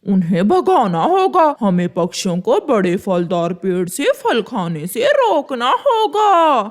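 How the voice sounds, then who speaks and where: A woman's voice: fairly high pitch, big pitch swings, slow pace. A woman voicing a selfish, grumbling male crow in a children's cartoon, callous and always plotting. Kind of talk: Hindi children's cartoon voice acting.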